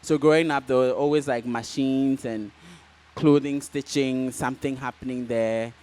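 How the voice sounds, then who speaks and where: A man's voice: mid-range voice, normal speed. Casual Ghanaian man in a live interview. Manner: relaxed, casual, many fillers like "um" and "like". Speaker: guest fashion designer. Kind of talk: interview answers.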